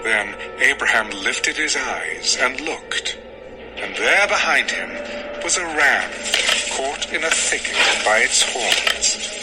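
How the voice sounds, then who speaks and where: A man's voice: medium pitch, expressive, low-quality recording. Male scripture narrator over music. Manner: measured, formal. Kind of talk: solemn dramatized scripture narration. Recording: music under the voice.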